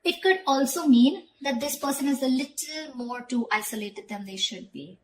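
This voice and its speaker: High-pitched woman giving a tarot reading. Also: expressive, moderate pace, a little hiss or hum. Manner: repeats phrases, seeks agreement with short tag questions. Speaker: tarot reader. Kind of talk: conversational tarot reading addressed to viewer.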